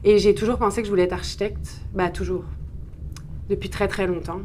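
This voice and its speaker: A woman's voice: mid-range voice, fairly even intonation, fairly quick, darkish tone, a little hiss or hum. A woman in her thirties from France with a French accent, talking at length in a conversational, self-deprecating way as an interview guest. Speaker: interview guest, urban planner. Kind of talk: conversational interview, personal storytelling.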